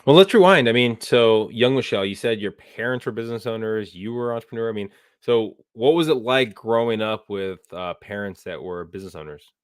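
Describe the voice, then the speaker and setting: A man's voice: lowish voice, a little fast, clean tone, no room sound, clean studio sound. A man hosting a podcast, asking long, hesitant interview questions filled with "I mean" and "um". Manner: hesitant, many "I mean" and "um" restarts. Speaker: podcast host.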